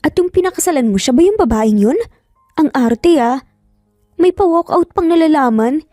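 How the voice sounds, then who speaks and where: Woman acting a haughty, pouty, high-pitched young rival. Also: lively intonation, normal speed, warm tone, no echo, clean studio sound, faint music behind. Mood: pouty, demanding. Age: young adult. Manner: affected, pouty, taunting.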